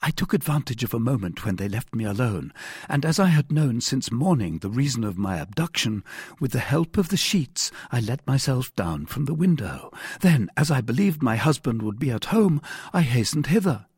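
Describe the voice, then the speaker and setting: A man's voice: medium pitch, sing-song, normal speed, a little mellow, husky, dry recording, clean studio sound, pitched above the performer's own voice. A man voicing an anxious, pleading young married woman in an audiobook, with eager, formal old-fashioned speech. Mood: earnest. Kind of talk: audiobook character voice.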